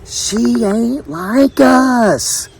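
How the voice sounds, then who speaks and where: Storybook role: man playing a scandalized country mother, very high, drawn-out indignant scolding, suspicious of outsiders. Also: vocal fry, breathy edge, no echo, full character voice. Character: scornful, protective rural mother, scandalized by outsiders.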